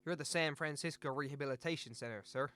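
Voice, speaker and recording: A man playing a calm rehab centre worker in a radio drama, matter-of-fact and gently insistent. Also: fairly high pitch, fast talker, dry recording. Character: calm, firm rehab center worker leading group sessions.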